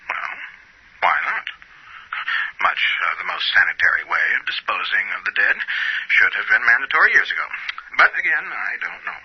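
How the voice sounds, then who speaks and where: An actor playing a blunt, hedging male pathologist interviewed on the radio, matter-of-fact and husky. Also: low voice, expressive, moderate pace, pauses often, full character voice. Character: hesitant, blunt male pathologist, evasive official expert. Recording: dull, muffled radio sound.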